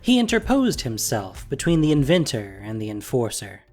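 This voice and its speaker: An expressive narrator tells a scripted audio drama story. The voice sits at a middling pitch and rises and falls widely through each sentence. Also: normal speed, soft background music.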